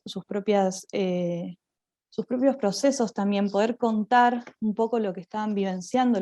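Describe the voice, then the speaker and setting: A woman with an Argentine accent giving a conference presentation as a drama teacher, explaining in a halting way with repeated words. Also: medium pitch, warm tone, no echo.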